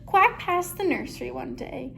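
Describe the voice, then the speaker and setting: A woman reading a picture book aloud expressively, with measured, dramatic phrasing. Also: high-pitched, expressive, gravelly, sharp tone, a little hiss or hum. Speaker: story reader.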